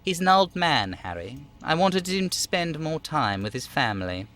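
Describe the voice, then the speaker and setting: A man voicing an overweight union boss in a video game, falsely chummy, smooth and theatrically indignant. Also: fairly high pitch, sing-song, moderate pace, fairly bright tone, soft background music, voice pushed up. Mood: annoyed. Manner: smooth, theatrical, over-familiar.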